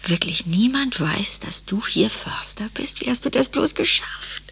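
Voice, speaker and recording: Slow, whispery runaway wife. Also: female voice, fairly high pitch, lively intonation, fairly bright tone, full character voice. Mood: admiring, intimate. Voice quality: breathy, whispery, creaky. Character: admiring runaway wife, crossword devotee. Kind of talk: German radio play acting. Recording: prominent brass music behind the voice.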